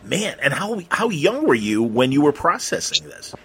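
A man hosting a casual conversational interview, feeling his way through questions with halting, circling phrasing. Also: a little high, very animated, creaky, fairly bright tone, husky. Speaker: interviewer, host.

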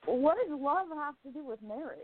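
A spirited young newswoman of the 1920s, voiced by a woman in an amateur radio play. She speaks earnestly and with feeling, in a slightly high voice. The sound comes through tinny and phone-like. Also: expressive, normal speed, no echo. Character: young modern female journalist, spirited and independent.

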